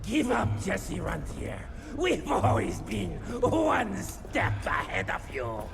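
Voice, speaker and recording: An actor playing a cruel, mocking vampire villain in a video game cutscene, with a gravelly, creaky voice that drops to whispers and rises to shouts. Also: male voice, high-pitched, wildly swooping pitch, music in the background, heavily acted. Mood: sneering. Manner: slow, sneering, swings from whisper to shouting. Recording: loud orchestral score behind.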